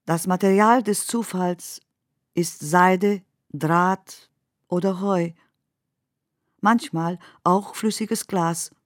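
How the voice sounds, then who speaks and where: A woman's voice: lowish voice, very slow, no echo. Woman reciting verse, very dry studio sound. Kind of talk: poetic radio drama recitation.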